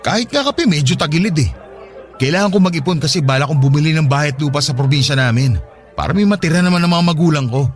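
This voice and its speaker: An actor playing a weary, defensive suitor of about forty in a radio drama, exasperated in manner. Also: male voice, on the high side, expressive, fast, faint music behind, lightly acted. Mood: resigned. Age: 40s. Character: weary, defensive forty-year-old suitor short of money.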